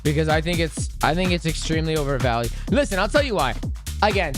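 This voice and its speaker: A young man talks casually on his crypto livestream, joking with viewers and drifting off topic. His voice sits a touch high and sounds a little worn from screaming. Faint music plays behind him. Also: lively intonation, fast talker. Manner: joking, rambling, breaks into singing. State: slightly lost voice. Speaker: livestream host, crypto trader.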